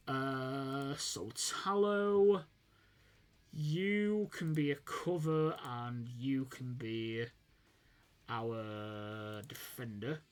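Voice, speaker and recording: A young man, slightly sniffly from a fading cold, casually commentating his football management game while thinking aloud with many fillers. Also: medium pitch. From United Kingdom. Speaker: gaming channel host.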